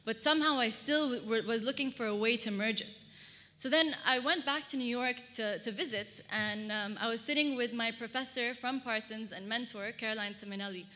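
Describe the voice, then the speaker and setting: A woman's voice: on the high side, fairly quick, sharp tone. A woman from Kuwait giving a personal storytelling talk as a public speaker at a conference. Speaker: public speaker, fashion designer. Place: large hall, speaking to an audience. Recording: reverberant hall, amplified stage talk.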